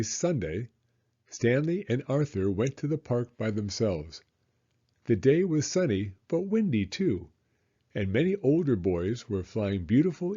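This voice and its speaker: A man reading a children's story aloud as an expressive narrator who acts out the dialogue. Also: mid-range voice, husky, lo-fi sound.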